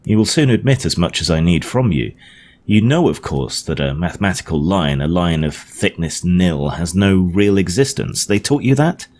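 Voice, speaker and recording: Earnest Victorian gentleman scientist, played by a male reader: precise, emphatic lecturer, low-pitched, for a storybook with audible background noise. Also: big pitch swings, moderate pace. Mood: earnest, challenging. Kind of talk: audiobook character dialogue. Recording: clearly noisy home recording.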